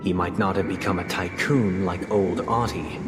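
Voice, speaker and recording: A man's voice: sits low, fairly even intonation, lightly acted. Man acting solemn, courteous storyteller in echoing hall. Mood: grave, formal. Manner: formal, measured, theatrical. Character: solemn, courteous, well-spoken man telling tragic tales. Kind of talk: scripted dramatic game monologue. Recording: echoey hall, loud game music behind.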